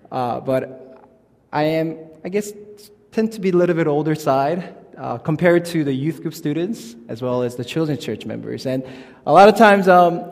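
A man in his thirties, from South Korea, preaching as a youth pastor in a halting, informal, friendly way. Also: mid-range voice, gravelly, darkish tone. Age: 30s. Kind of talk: sermon. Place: church sanctuary. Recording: long church reverb.

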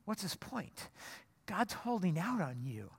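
A pastor delivers a sermon in a plain, conversational way, earnest and direct. The voice sits in a middle pitch and often stumbles over a repeated word before going on. It rings through a church sanctuary with a long reverb. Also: big pitch swings, unhurried, gravelly, breathy edge. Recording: long reverb of a large hall.

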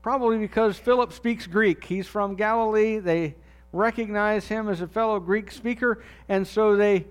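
A man with a notably high voice delivers a sermon in an easy, conversational manner. He often sinks low and quiet to land a key phrase. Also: steady tone, normal speed, clean tone. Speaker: pastor.

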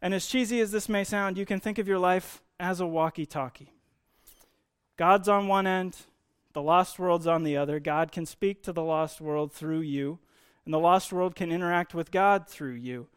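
A man's voice: high voice, normal speed, smooth. A man giving a conversational sermon, explaining Bible teaching in an informal way with emphasis on key words.

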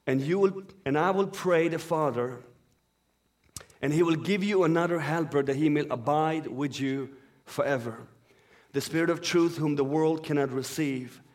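Earnest preacher born in Iran. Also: male voice, fairly high pitch, a little mellow. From born in Iran, raised in Sweden. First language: Farsi. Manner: earnest, flowing preaching with scripture reading. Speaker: guest preacher. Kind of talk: sermon.

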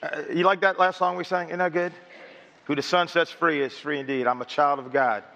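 A slightly hoarse man preaching a sermon and reading scripture, chatty and self-joking, with stammered restarts. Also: a little high, steady tone, a little fast, sharp tone. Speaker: pastor. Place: church sanctuary. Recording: dull sound with long hall reverb.

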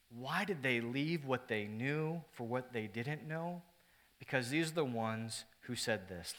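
A man preaching a sermon to his congregation, moving between loud, emphatic stretches and hushed, earnest ones, with joking asides to the room. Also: mid-range voice. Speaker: pastor.

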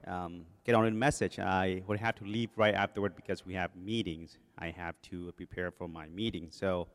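A man's voice: deep voice, steady tone, moderate pace. A man in his forties with a Thai accent, a guest preacher talking from the podium in a joking, self-deprecating way with many fillers. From Thailand. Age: 40s. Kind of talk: sermon introduction. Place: church sanctuary. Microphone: podium microphone. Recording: long reverb of a church hall.

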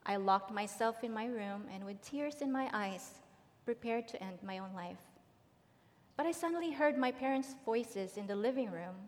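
A woman's voice: on the high side, animated, normal speed, darkish tone. A middle-aged woman from the Philippines, giving a prepared personal testimony in a large church hall. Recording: long hall reverb.

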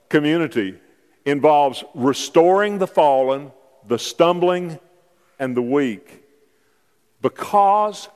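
A man pastor preaching a sermon, telling personal stories in a conversational way and rising to emphatic calls to his church. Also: a little high. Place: church sanctuary. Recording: long hall reverb from a church sanctuary.

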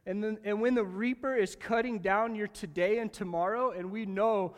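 A high-voiced man delivers a lively sermon in a large church hall, and long reverb trails each phrase. He swings between loud, fervent bursts and quiet, joking asides aimed straight at the congregation. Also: moderate pace. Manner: jokey, talks directly to the congregation. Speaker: pastor.

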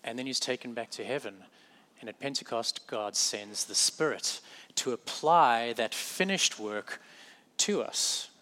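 Male pastor, South African accent, bright tone, relaxed sermon delivery with self-corrections and light humor. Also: medium pitch, measured pace. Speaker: preacher.

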